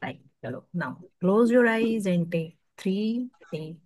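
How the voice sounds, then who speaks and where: A woman with an Indian accent leading a guided meditation, soothing and hushed at the ends of phrases, leaving long pauses. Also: mid-range voice, dry recording.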